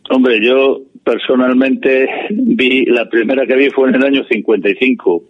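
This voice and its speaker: A man's voice: mid-range voice, moderate pace, no room sound, lo-fi sound. A man, a supporters' club president talking bluntly and plainly in a radio interview. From Madrid, Spain. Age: 80s. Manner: blunt, plain-spoken, opinionated.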